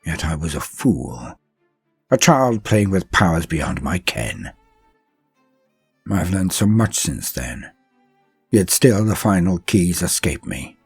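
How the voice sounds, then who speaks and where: An ancient sorcerer warlord, acted by a man in a horror audio tale, tormented and grandiose. The voice is low and husky. He speaks in slow, solemn, theatrical phrases with music underneath. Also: big pitch swings, no echo, heavily acted. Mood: regretful brooding. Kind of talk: dramatic first-person horror audio tale.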